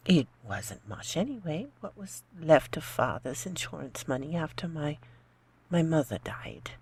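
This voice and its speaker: A woman's voice: low voice, sing-song, a little slow, creaky, a little mellow, a little hiss or hum, exaggerated voice. A woman acting a meek, naive housekeeper in a horror radio play, deferential, hesitant and uneasy. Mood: meek, apologetic.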